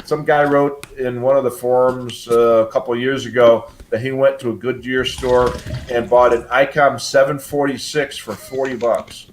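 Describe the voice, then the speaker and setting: A man's voice: mid-range voice, steady tone, moderate pace, few pauses, slight background noise. An older man hosting a casual live hobby show, explaining things in a rambling, matter-of-fact way.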